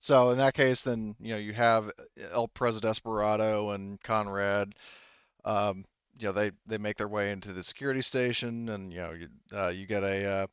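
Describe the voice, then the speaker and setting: A man running a tabletop roleplaying game as game master, narrating casually in a halting, hesitant way. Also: lowish voice, steady tone, clean tone, no room sound. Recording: slightly dull, dry close recording.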